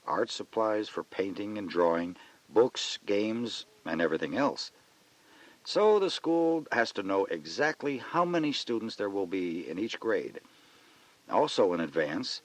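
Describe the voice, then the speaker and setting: A man's voice: lowish voice, poor audio. A man reading scripted educational narration, explaining things carefully and instructively.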